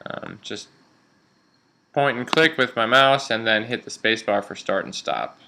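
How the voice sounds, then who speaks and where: Bright-voiced man giving a software tutorial. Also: mid-range voice, unhurried, gravelly, a little hiss or hum. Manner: informal, instructional. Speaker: radio instructor. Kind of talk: software tutorial narration, step-by-step explaining.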